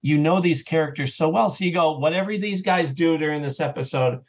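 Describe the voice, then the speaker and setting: A man talking as a podcast guest in a casual, enthusiastic and animated way, slipping into imagined remarks as an audio drama creator. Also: a little high, a little fast. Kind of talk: casual enthusiastic interview chat.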